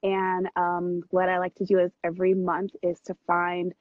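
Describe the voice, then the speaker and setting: A woman hosting a casual interview over a video call, with a slightly husky voice. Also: lowish voice, monotone, normal speed, no room sound. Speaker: vlogcast host and interviewer. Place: private room on a moving train. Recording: muffled, dry video call audio.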